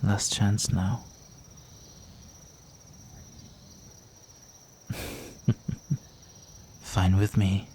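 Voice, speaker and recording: Low, slow, seductive vampire gentleman, acted by a man. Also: completely flat delivery, a little hiss or hum. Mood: seductive, teasing. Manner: seductive, courtly, teasing, with breathy murmurs. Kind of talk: intimate roleplay audio drama.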